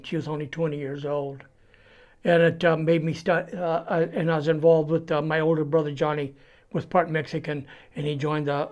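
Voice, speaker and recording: A man in his seventies with a Californian American accent tells his life story in an interview, plain-spoken and halting. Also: fairly high pitch, a little mellow, highs cut off. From California, United States. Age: 70s. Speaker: interview guest giving testimony. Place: indoors before a cloth backdrop.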